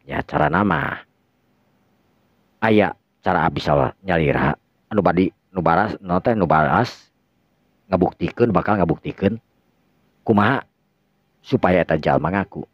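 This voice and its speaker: A man playing a composed, resolute young village hero in a radio drama, calm, measured and respectful. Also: low-pitched. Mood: calm, assured. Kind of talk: Sundanese radio drama acting. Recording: dull lo-fi recording with echo.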